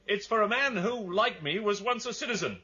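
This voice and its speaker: Earnest outcast, bright, tense voice. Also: male voice, high voice, expressive, a little fast. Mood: earnest, urgent. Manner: intense, formal, urgent. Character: earnest, driven male outcast seeking a wronged man. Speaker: actor in a radio drama. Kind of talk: dramatic radio acting. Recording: dull, band-limited radio drama recording.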